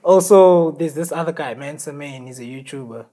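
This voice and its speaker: A man from South Africa hosting a podcast, asking questions in a relaxed way and filling in with short agreeing yeahs. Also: on the high side, moderate pace. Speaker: podcast host. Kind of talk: casual interview chat.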